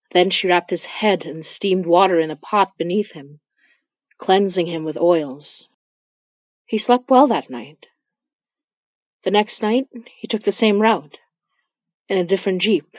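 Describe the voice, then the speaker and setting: A woman's voice: fairly low pitch, a little mellow, no echo, highs cut off, natural voice. A woman playing a weary, anxious young woman, speaking in a hesitant, confiding way in an intimate audio drama.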